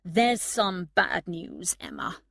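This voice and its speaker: A woman's voice: lowish voice, huge pitch swings, slow pace, creaky, a bit bright, no room sound, clean studio sound, heavily acted. A woman voicing a sly, charming young man in an audiobook story. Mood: slyly pleased. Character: sly, charming young man, privately smitten with his friend.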